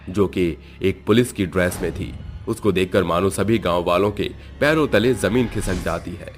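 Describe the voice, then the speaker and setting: A man narrating a scripted horror moral story as a storyteller. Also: low voice. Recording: music behind the voice.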